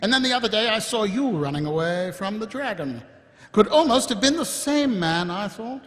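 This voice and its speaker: A man's voice: high voice, animated, moderate pace. An actor playing a dogged, cynical male watch captain, blunt and sardonic, in live radio theatre. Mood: suspicious. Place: live stage in a hall. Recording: echoing hall, live stage performance.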